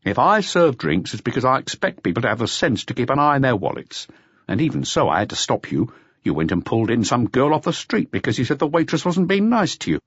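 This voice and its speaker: Tough café owner, used to fights, calm and controlled, put on by a male reader. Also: mid-range voice, fast, husky, no room sound, lo-fi sound, voice pushed up. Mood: firm, curt.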